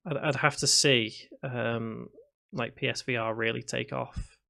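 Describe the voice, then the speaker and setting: A man's voice: medium pitch, steady tone, a little slow, gravelly, sharp tone. A man in his thirties from Liverpool with a Scouse accent, chatting casually on a live stream as he reads viewer comments aloud and answers them. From Liverpool, England. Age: 30s. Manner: reads chat aloud and answers, with restarts and fillers. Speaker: game development streamer.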